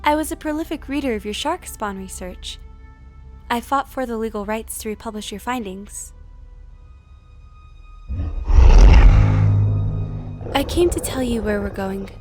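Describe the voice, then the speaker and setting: Woman playing composed, high-voiced cartoon therapist. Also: faint music behind, close to an everyday voice. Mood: calm, firm. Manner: measured, formal, articulate. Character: earnest, composed female therapist, idealistic and firm. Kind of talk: animated film voice acting.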